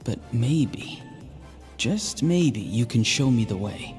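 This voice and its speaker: An actor playing an earnest, troubled young mage in a video game, speaking in a hushed, searching, quietly determined way. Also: male voice, mid-range voice, lively intonation, slow. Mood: earnest, resolute. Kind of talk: dramatic video game acting. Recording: long hall reverb, game music underneath.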